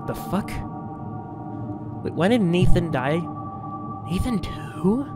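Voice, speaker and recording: A man's voice: sits high, expressive, slow pace, pitched above the performer's own voice. A man voicing an agitated participant in a death game, blunt and questioning, with music behind. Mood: shocked, demanding.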